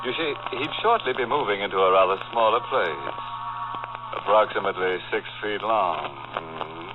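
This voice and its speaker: An actor playing a macabre, sardonic mystery host who narrates in a wry, droll way in 1940s radio style. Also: male voice, on the low side, moderate pace, close to an everyday voice. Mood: wry relish. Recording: tinny narrow-band old radio recording, music behind.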